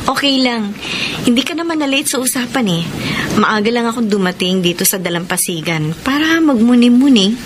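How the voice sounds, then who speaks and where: A woman playing a guilt-ridden married woman in a radio drama, sounding worried, hesitant and soft-hearted. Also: on the high side, expressive, brisk, close to an everyday voice. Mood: tender, hesitant. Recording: noisy ambience bed.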